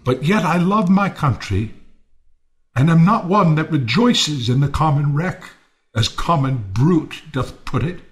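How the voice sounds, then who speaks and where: A high, wildly swooping, bitter misanthrope. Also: male voice, lively intonation, measured pace. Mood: weary, bitter. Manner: theatrical, swinging from quiet to declaiming. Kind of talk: Shakespearean audio play acting.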